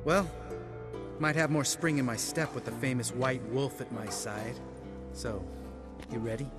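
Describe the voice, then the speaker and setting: A man's voice: medium pitch, fairly even intonation, a little slow, over loud music, lightly acted. An actor playing a sardonic, grudging male warrior in a fantasy game, with a dry, offhand, sarcastic delivery. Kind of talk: video game acting.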